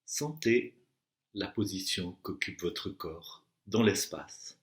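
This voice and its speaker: A man with a fairly low voice leads a guided relaxation as a meditation teacher. He gives his instructions slowly and deliberately, leaving long pauses between phrases. The recording has a clearly echoey room sound. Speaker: yoga nidra and meditation teacher.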